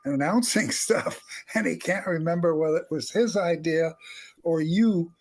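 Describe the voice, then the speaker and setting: A man's voice: on the high side, unhurried. An older man, a comedy writer on a panel, telling anecdotes in a wry, rambling, chuckling way. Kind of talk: animated anecdotal panel talk.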